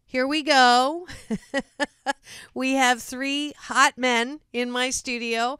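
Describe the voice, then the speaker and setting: Woman, middle-aged, radio talk host, bubbly, laughs a lot, smooth voice, dry sound. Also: a little high, fairly bright tone, dry recording. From Canada. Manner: bubbly, laughs often, chatty with restarts. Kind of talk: casual radio chat with guests.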